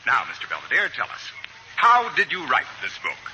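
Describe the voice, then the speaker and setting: A man in 1940s radio acting, playing a harried, brisk newsreel director. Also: mid-range voice, heavily acted. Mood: businesslike. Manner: brisk, excitable. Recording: noisy old radio recording.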